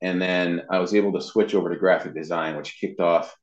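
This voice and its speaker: A low-pitched American man in his fifties talks casually in an interview. His delivery wanders along in a conversational way. The recording is slightly dull and carries a clear room echo. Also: fairly even intonation, fairly quick. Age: 50s. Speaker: interview guest, web marketing professional. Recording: muffled lo-fi sound in echoey room.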